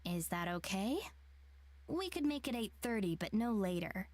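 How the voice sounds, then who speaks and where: A woman's voice: mid-range voice, animated, moderate pace, darkish tone, no room sound, natural voice. A woman playing an apologetic, polite young woman in visual novel game dialogue. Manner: polite, considerate.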